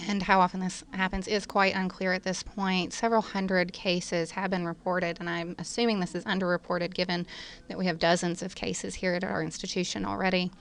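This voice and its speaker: A woman with a fairly low, crisp voice and noticeable creak lectures as a physician. She explains in formal, technical language, as in a medical teaching session.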